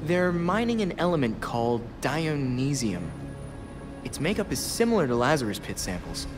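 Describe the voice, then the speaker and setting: A young man playing an earnest, sharp vigilante hero in a video game, inquisitive, wry and challenging in manner. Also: medium pitch, very animated, normal speed, over music, lightly acted. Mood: curious, probing. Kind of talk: video game cutscene acting.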